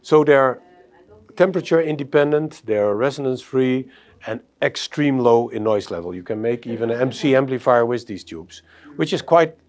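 Middle aged guy doing a techy product demo, normal mid pitch. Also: expressive, moderate pace, darkish tone. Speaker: audio company presenter. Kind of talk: technical product presentation. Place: exhibition demo room, indoors.

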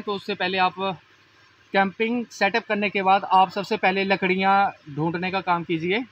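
A man's voice: high voice, bright, forceful tone, a little hiss or hum, poor audio. A young man camping in a forest at night, explaining tips to viewers in a casual vlog, filmed on a handheld phone. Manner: explanatory, advising viewers, walking while talking. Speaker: camping vlogger. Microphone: phone camera held by companion.

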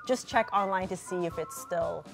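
A woman's voice: lowish voice, normal speed, creaky, over music. A young adult woman with a Filipino accent, co-hosting a casual travel chat and saying "like" a lot. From Philippines. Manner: chatty, uses "like" often. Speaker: co-host. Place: indoor studio set with a sofa.

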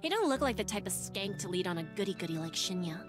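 A woman's voice: medium pitch, sing-song, quick pace, voice pushed down, natural voice. A woman voicing a mocking, brash young female office bully in a visual novel, with slangy, taunting delivery. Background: game music.

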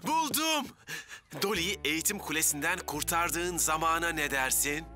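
A man's voice: fairly high pitch, expressive, moderate pace, pushed and bright, soft background music. A man voicing an eager, bumbling cartoon fireman with a stammering, over-enthusiastic delivery. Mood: excited. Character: eager, bumbling young fireman, excitable admirer. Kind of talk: dubbed children's cartoon acting.

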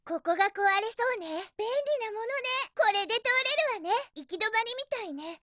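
A woman's voice: extremely high pitch, expressive, normal speed. A woman voicing a cheerful, feisty young hedgehog girl in a video game, with a girlish voice and a perky, sassy, emphatic delivery. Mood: curious. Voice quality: bright, girlish. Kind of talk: Japanese video game voice acting.

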